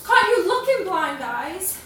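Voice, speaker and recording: A woman's voice: very high voice, sing-song, measured pace, a bit bright, pitched above the performer's own voice, full character voice. A woman performing a dramatic stage monologue as an angry, accusing young woman berating her housemate, scornful and emphatic. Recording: very echoey noisy room, distant mic.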